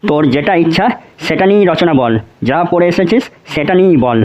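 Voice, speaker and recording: A man's voice: fairly high pitch, lively intonation, brisk, crowd noise, lightly acted. A man voicing a stern, threatening, irritable schoolteacher in a comedy cartoon, scolding. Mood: bossy.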